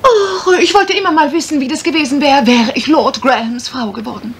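A woman's voice: sits high, brisk, a little hiss or hum. An actress playing a playful, mischievous lady sleuth in a German radio crime drama, arch and theatrical. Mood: arch, teasing.

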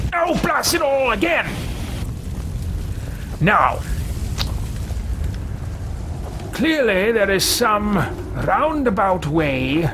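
A man's voice: sits high, a bit bright, a little hiss or hum, heavily acted. A man voicing a haughty, easily frustrated old fantasy adventurer in a video game, with pompous, archaic, theatrical speech. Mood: musing. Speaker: gaming streamer roleplaying a character. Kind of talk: in-character roleplay game commentary. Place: small room with packed shelves, indoors.

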